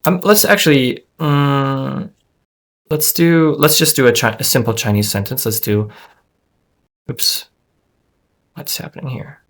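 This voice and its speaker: A man's voice: medium pitch, a little slow, pauses often, a bit bright. Voice-over: a casual man thinking aloud. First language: English. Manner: thinking aloud with frequent fillers and restarts. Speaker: app developer demoing his language app. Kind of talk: casual screen-share software demo.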